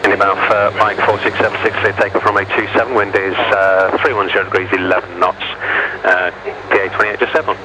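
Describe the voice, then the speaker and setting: Fast-talking man on noisy aviation radio. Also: fairly low pitch, flat delivery. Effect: air traffic radio. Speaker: air traffic radio operator. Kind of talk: clipped aviation radio talk. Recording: noisy air traffic radio transmission.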